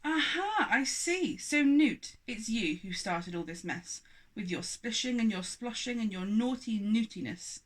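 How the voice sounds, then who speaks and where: A woman voicing a huge, angry, pompous father giant who booms out accusations in a children's storybook. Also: animated, unhurried. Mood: suspicious, accusing.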